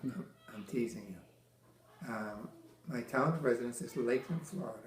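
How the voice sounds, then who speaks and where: Older man slowly recalling, echoey room. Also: medium pitch, faint music behind. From Massachusetts. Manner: halting, many restarts and fillers, dry teasing humor. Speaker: veteran interviewee. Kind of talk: oral history interview.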